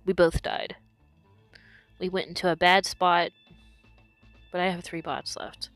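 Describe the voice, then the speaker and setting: A woman's voice: fairly low pitch, level tone, a little slow, fairly bright tone, no echo, soft background music. A woman who is sick and losing her voice, explaining her moves as she commentates her own gameplay. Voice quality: hoarse. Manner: explanatory, talks through her decisions.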